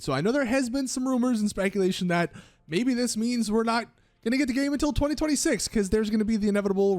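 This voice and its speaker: A man with a slightly high voice hosts a gaming news video. He explains things casually but with emphasis. The sound is dry and close, with light music underneath. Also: darkish tone, no echo. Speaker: gaming news YouTuber, host. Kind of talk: casual scripted-and-ad-libbed news commentary.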